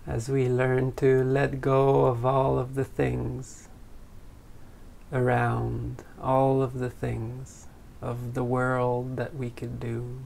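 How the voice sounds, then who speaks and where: A man leading a guided meditation in a gentle, unhurried way, leaving long pauses between phrases. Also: mid-range voice, completely flat delivery, gravelly, slight background noise. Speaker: meditation teacher.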